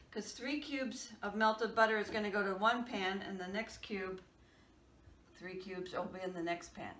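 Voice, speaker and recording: A woman in her sixties giving plain step-by-step cooking instructions in her home kitchen. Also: medium pitch. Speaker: home cook. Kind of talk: casual cooking demonstration. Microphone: camera across the kitchen. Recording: echoey kitchen, camera far off, loud noise.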